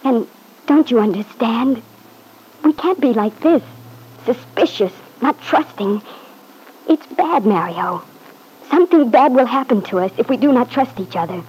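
A young wife in an old-time radio drama, devoted and worried. Her voice sits fairly high with a husky edge. She pleads earnestly for trust in a classic radio acting style. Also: expressive, measured pace, darkish tone, exaggerated voice, poor audio. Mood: tender, troubled. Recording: husky-sounding vintage radio recording.

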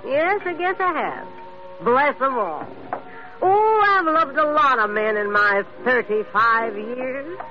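Flirty, swooping high-voiced aging barkeeper dame. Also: female voice, sing-song, slow pace, heavily acted. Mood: wistful. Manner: theatrical, coquettish, calls people honey. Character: brash, flirtatious, heavyset aging island barkeeper woman. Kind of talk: old-time radio drama acting. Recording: muffled old radio, loud music.

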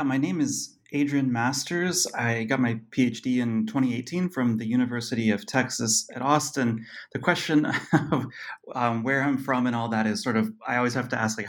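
A man of middle pitch talks through his story in a loose, rambling way. He is full of ums, restarts and small self-amused laughs as he answers questions about his book. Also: a little fast, a bit bright. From Costa Rica. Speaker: author guest. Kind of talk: casual interview talk.

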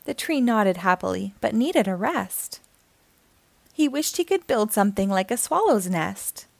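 A woman hosting storytime, reading a children's picture book aloud in an expressive, deliberate storytelling manner. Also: medium pitch, vocal fry, dry recording. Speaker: storytime host and reader.

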